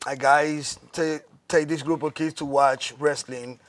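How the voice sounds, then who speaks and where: Halting man. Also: a little high, fairly even intonation. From Puerto Rico. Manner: halting, with many restarts and fillers. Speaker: wrestling guest. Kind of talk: casual interview answers.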